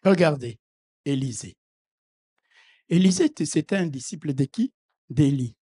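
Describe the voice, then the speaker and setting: A middle-aged man preaching a sermon as a bishop, not a native speaker of the language. He preaches fervently, calling out hallelujahs and swinging from quiet asides to loud proclamations. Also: on the high side, animated, unhurried, husky. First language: not French.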